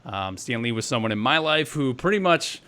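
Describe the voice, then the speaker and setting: A man's voice: mid-range voice, expressive, brisk, bright, forceful tone. A man hosting a live gaming podcast, talking in an upbeat, chatty way. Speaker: podcast host.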